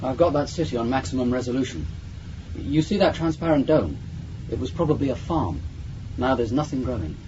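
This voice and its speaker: Restless young starship crewman, lively pitch man acting. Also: fairly quick, natural voice. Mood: sober. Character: restless young male starship crewman, bold, defiant, curious. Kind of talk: 1980s British radio science fiction acting. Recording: muffled, noisy old radio drama recording.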